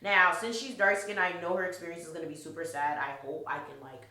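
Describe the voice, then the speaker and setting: Blunt, animated young woman, bright voice. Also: lowish voice, fast talker. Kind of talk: casual chat. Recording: echoey room.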